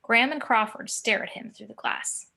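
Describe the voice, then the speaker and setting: A woman's voice: on the high side, normal speed, vocal fry, bright, forceful tone. A woman giving scripted narration of screenplay action and scene directions at a table read. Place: remote video call.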